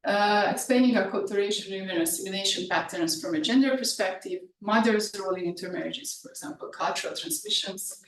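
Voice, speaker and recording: A woman's voice: medium pitch, fairly quick, hardly any pauses. A woman who grew up speaking Hungarian gives an academic talk, hesitant and full of fillers. She sounds far from the mic in a very echoey room. A faint hiss sits underneath. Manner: hesitant, many fillers and restarts. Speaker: research presenter.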